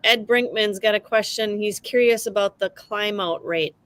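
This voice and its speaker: A woman's voice: medium pitch, normal speed, fairly bright tone. Woman host reading viewer questions.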